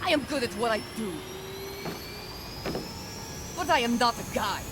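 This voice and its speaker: A woman's voice: on the high side, expressive, unhurried, noisy background. A woman playing a stern, threatening mercenary leader in a video game. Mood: icy. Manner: cold, formal, threatening. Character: stern, menacing foreign female mercenary leader. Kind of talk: video game cutscene acting. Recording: loud background music.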